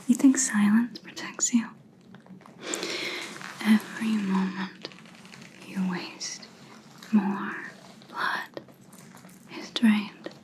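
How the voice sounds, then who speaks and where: A woman playing a stern, menacing vampire hunter in an ASMR roleplay, speaking in a breathy whisper with deliberate, taunting pauses. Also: on the high side, darkish tone, slight background noise, rough recording. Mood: menacing, accusing. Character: stern female vampire hunter interrogating a captive, menacing, contemptuous.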